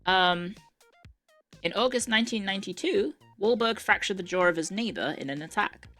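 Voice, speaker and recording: A young woman from Ireland with a mixed Irish, English and Australian-tinged accent, chatting casually on a live stream, reading aloud with wry asides and quick self-corrections. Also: medium pitch, fairly bright tone, soft background music.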